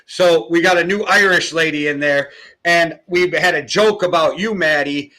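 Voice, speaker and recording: An American man co-hosting a sports podcast, telling stories and giving picks in a relaxed, hedging way. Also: on the high side, moderate pace, poor audio. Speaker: podcast co-host. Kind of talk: casual sports chat.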